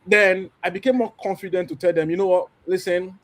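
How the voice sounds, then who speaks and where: A Nigerian man in his thirties speaking on a church panel. He talks animatedly and acts out little exchanges as he tells his story. His voice sits a bit high for a man. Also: steady tone, fairly quick. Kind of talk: candid testimony on a church panel.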